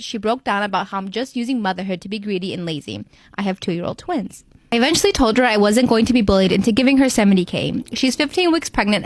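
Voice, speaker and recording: A woman narrating first-person storytime posts in a brisk, even reading voice. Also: medium pitch, dry recording.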